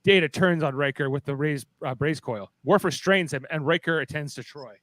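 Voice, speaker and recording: A man's voice: on the high side, normal speed, fairly bright tone, no echo. A man narrating stage directions in a playful, dramatic way for a live fan script reading.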